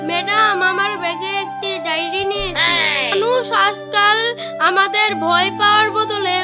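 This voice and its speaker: A cheeky little ghost pupil with an extremely high, childish voice. The child talks eagerly in comic cartoon style, over loud music. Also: female voice, steady tone, moderate pace. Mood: indignant.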